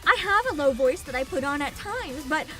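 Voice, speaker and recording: A woman livestreamer chatting casually with viewers in a giggly, rambling, animated way, swearing casually. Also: high-pitched, fairly quick. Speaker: VTuber livestreamer. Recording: music behind the voice. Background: music.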